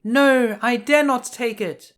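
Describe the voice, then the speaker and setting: A high, light voice for a gentle young fairy-tale princess, played by a man in falsetto. She sounds innocent, polite and hesitant. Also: takes it slowly, full character voice. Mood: timid, apologetic. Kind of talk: storybook character voice.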